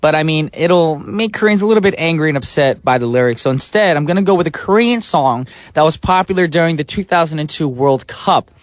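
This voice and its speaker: A man's voice: a little high, a little fast, hardly any pauses, no echo. Playful radio DJ man, dry studio sound. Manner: playful, breaks into sung snippets and silly voices. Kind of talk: upbeat radio DJ patter. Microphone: studio microphone.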